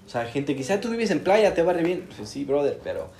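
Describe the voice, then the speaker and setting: A man's voice: a little high, sing-song, brisk, a little mellow, slight background noise. A Mexican man in casual chat, reflecting and explaining at length.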